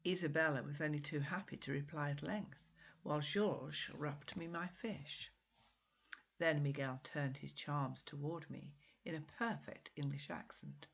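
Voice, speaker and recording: A woman with a low, husky voice narrates literary fiction with measured, dramatic expression. She reads as the author of the piece. The recording is slightly muffled. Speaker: author reading own short story.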